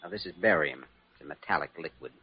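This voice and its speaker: An actor playing an earnest, calm, courteous young doctor in old-time radio drama style, measured and clinical. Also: male voice, a bass voice. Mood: reassuring. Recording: dull, faintly noisy old radio recording.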